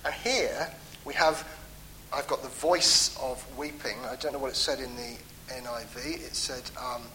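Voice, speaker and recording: Male preacher, earnest and pleading, bright forceful tone, faint hum behind. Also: mid-range voice, steady tone, a little slow, poor audio. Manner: earnest, pleading, with emphatic rises and soft trailing endings. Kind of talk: sermon on a psalm.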